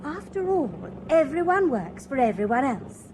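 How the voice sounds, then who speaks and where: A woman's voice: sits high, very animated, moderate pace, background noise. An actress playing a shallow, cheerful young woman in a radio drama, talking in a breezy, chattering way. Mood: chatty. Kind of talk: BBC radio drama acting.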